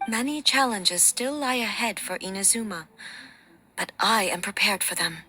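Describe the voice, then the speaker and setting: A woman voicing a regal ruler goddess in a video game, composed and resolute, with measured, formal, stately phrasing. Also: mid-range voice, pushed and bright, slight background noise, close to an everyday voice. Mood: reflective. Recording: studio voice with faint music behind.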